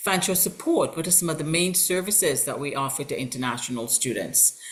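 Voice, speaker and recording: A woman's voice: low-pitched, lively intonation, brisk. A woman from Trinidad and Tobago, hosting an online information session as a college representative. She talks in an upbeat, welcoming way with frequent fillers, over a video call. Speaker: college recruitment representative and host.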